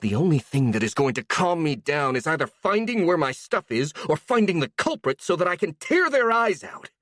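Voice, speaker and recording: A storyteller voicing a hot-tempered young woman who shouts and sneers with sarcasm. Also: low-pitched, big pitch swings, moderate pace, no room sound, clean studio sound, voice pushed up. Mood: furious.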